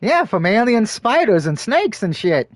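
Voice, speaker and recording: Male streamer, crude jokey banter, lively swinging voice, dry close-mic sound. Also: sits high, a little slow, no room sound. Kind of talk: casual let's-play commentary and reading aloud.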